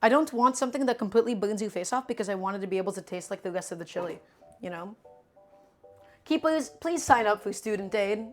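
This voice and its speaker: A woman's voice: mid-range voice, lively intonation, fast talker, a little mellow, soft background music. A woman whose first language is Russian, casually demonstrating a recipe in her home kitchen on a live stream and chatting with viewers as she works. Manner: chatty, answers viewer chat while cooking. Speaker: cooking streamer.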